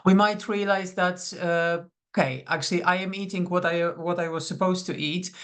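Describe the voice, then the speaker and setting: A woman's voice: on the low side, steady tone, moderate pace, a bit bright. Welcoming woman coach. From Poland. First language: Polish. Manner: welcoming, chatty, greets attendees by name. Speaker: psychonutrition coach. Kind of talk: live online masterclass. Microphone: video call.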